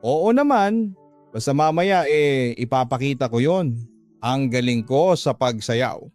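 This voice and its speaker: A man's voice: medium pitch, lively intonation, a little slow, darkish tone, soft background music, lightly acted. A man playing a frank, earnest young working-class older brother who admonishes and confides in a radio drama. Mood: light, boastful.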